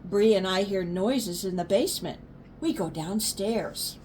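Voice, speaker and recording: A woman's voice: mid-range voice, measured pace, darkish tone, a little hiss or hum. An older woman, a grandmother, reading a children's story aloud expressively and explaining words to young listeners.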